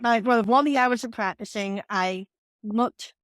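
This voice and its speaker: A woman's voice: a little high, normal speed, no room sound. Woman, New York accent, forties, earnest storyteller, dry close sound. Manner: earnest storytelling with frequent restarts. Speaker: guest, confidence coach. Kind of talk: podcast interview.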